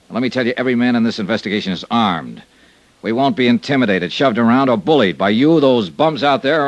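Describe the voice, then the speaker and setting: An American actor playing a tough, sardonic insurance investigator in a clipped 1950s radio drama style. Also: male voice, medium pitch, dry recording, muffled recording, natural voice. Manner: clipped, hard-boiled delivery. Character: tough, sardonic male insurance investigator narrating his case. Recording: vintage radio drama recording.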